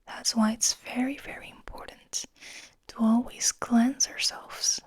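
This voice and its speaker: A woman whispering breathily in a slow, soothing guided ASMR style, lingering on her words. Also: a little high, steady tone, husky. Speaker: ASMR host.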